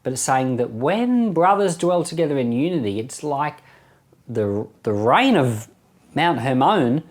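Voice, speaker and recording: Middle-aged male pastor, mid-pitched, for a conversational Bible podcast; explanatory, informal, repeats key phrases. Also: sing-song, a little slow.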